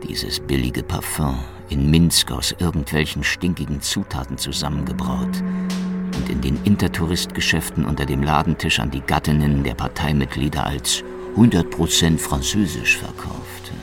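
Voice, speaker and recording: An actor playing a cynical, world-weary Soviet secret police officer in a German radio drama, sardonic and gravelly. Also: male voice, extremely low pitch, moderate pace. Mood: wry, brooding. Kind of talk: German radio drama, first-person noir narration and dialogue. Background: music.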